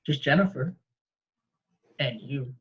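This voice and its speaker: A man's voice: medium pitch, slow pace, natural voice. Hesitant, well-meaning male deputy type, read by a man at a table read, unpolished delivery, muffled home sound. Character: earnest, protective male local lawman, slightly hesitant.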